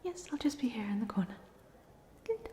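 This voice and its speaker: A woman's voice: on the high side, sing-song, a little fast, slight background noise. A woman playing a polite, chatty ghost of a drowned student in a whispered, hesitant ASMR roleplay. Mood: gentle, friendly. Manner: whispered, hesitant, gently rambling.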